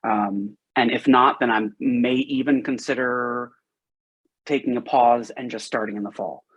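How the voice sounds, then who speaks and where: A man's voice: mid-range voice, fairly even intonation, a little slow, fairly bright tone, breathy edge, muffled recording. A man from Hawaii, a prospective student, talking hesitantly with lots of restarts and fillers. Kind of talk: casual video-call chat.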